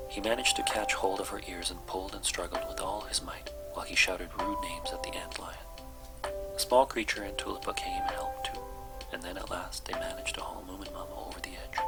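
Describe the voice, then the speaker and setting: A man narrates a children's storybook in a low register. His delivery is hushed, close to a whisper, in a soft bedtime style. Music plays clearly behind him. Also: wildly swooping pitch, fairly quick. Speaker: storybook reader.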